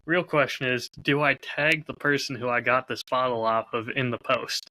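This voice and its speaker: A man chatting casually as a podcast co-host in a low-key, measured, slightly hedging way. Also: pushed and bright, rough recording. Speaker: podcast co-host and audio drama creator.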